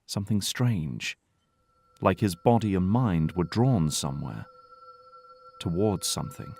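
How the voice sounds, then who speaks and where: A man's voice: deep voice, lively intonation, measured pace, darkish tone, slight background noise. A man narrating an audio drama in a scripted, dramatic storytelling style.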